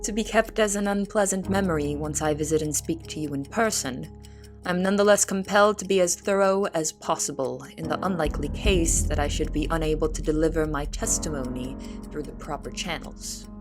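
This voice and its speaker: A woman playing an earnest young female cook in an audio drama, speaking in a formal, measured, articulate way. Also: deep voice, natural voice. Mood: grave, formal. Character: Anne Marie, earnest young female cook writing testimony. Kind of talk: audio drama, formal letter narration and dialogue. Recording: music bed under the voice. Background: instrumental music.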